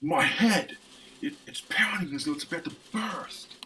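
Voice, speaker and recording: A man voicing a gruff, hot-headed pilot in a dramatic comic-book reading, blunt and combative. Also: on the high side, expressive, normal speed, pushed and bright, pitched above the performer's own voice. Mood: pained, straining.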